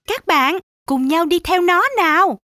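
A woman's voice: extremely high pitch, measured pace. A woman narrating a children's animation in an exclamatory storytelling style, talking directly to young viewers. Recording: music behind the voice. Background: children's music.

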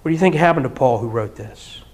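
A middle-aged man preaching a sermon in a church hall, animated and often breaking into mock singing and laughter. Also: medium pitch, quick pace. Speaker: pastor. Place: church hall with projector screen.

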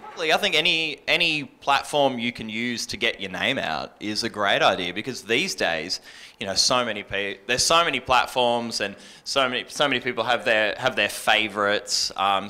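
A man's voice: medium pitch, bright, forceful tone. A man talking casually as a panelist, on a handheld microphone. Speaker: radio panelist. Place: indoor panel event.

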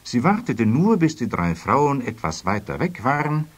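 A man playing the narrator of a children's fairy tale, reading scripted storybook prose with expressive, dramatic phrasing. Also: medium pitch, normal speed, husky. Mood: suspenseful.

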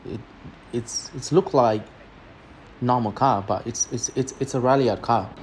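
A man's voice: mid-range voice, a little slow, background noise. A young man with an Indian accent talking up a car outdoors, eager and stumbling over repeated words. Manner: enthusiastic, repeats words as he talks. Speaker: car vlogger. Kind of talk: casual car review. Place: outdoors on a quiet road.

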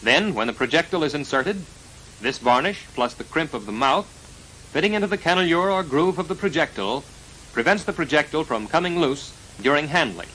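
Bright-voiced American male training film narrator. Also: fairly high pitch, animated. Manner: formal, precise, measured enunciation. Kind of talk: scripted 1940s military instructional narration. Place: voice-over recorded for a film. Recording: noisy vintage 1940s film soundtrack.

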